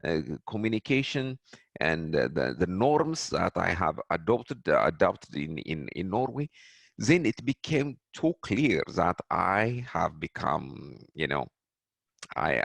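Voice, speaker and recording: A middle-aged man from Ethiopia, answering interview questions thoughtfully, with many pauses and restarts. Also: low voice, very animated, gravelly, dry recording. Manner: halting, many restarts and fillers. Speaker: guest.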